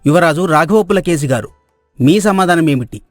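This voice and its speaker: A man's voice: on the high side, lively intonation, moderate pace, no room sound, studio quality, faint music behind, close to an everyday voice. A man playing a royal minister in a storybook drama, speaking in an official, orderly, formal manner.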